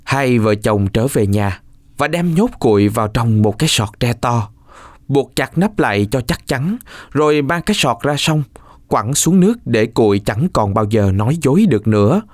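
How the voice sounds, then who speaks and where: A man narrates a scripted folk tale for children. His mid-range voice moves expressively up and down while the telling stays measured. The sound is close and dry, with little room to it. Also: medium pitch, lively intonation, dry recording. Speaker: storyteller.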